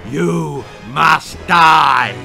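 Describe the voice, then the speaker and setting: Storybook villain: undead bog skeleton, male, slow and booming, hissing menace. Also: gravelly, sharp tone, soft background music, exaggerated voice. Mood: vengeful, commanding.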